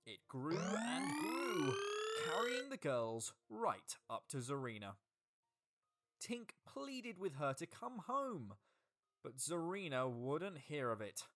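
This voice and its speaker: Slow, animated woman storyteller. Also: deep voice. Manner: expressive, dramatic. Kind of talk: scripted children's storybook narration. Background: music.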